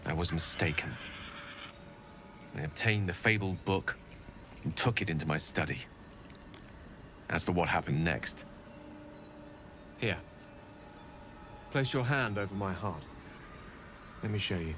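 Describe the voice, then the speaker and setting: An actor playing a learned, earnest wizard in a fantasy video game, speaking in florid, measured, dramatic phrases. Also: male voice, low voice, fairly bright tone, a little hiss or hum. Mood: wistful, confessional. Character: learned male wizard, eloquent, theatrical, earnestly remorseful.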